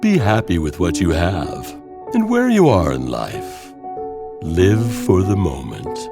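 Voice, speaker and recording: A man narrating scripted horror tales as host, in a theatrical, wry manner with drawn-out phrasing. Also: low-pitched, huge pitch swings, creaky. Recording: music under the voice.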